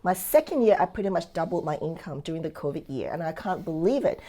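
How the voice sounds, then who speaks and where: This is a woman from Singapore who later lived in Australia, with a slightly low voice. She talks like a coach sharing her own success in an interview. Her delivery is upbeat and emphatic, and she often punctuates points with quick rhetorical questions. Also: lively intonation, mellow. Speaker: real estate affiliate and coach giving a testimonial. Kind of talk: conversational testimonial interview.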